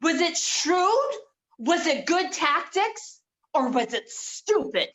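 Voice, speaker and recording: Exasperated, condescending female schemer, played by a woman, high-pitched and measured, for comedy stage reading. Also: sing-song, fairly bright tone, exaggerated voice, low-quality recording. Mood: exasperated, scornful. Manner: condescending, emphatic. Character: exasperated, scornful, scheming woman berating her dim partner.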